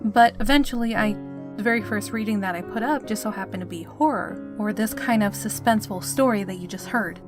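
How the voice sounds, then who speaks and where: A woman's voice: mid-range voice, a little fast. A woman hosting a fan fiction channel, chatting informally and sincerely to her listeners. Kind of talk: informal scripted outro to listeners. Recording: clear guitar music behind the voice. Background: plucked guitar music.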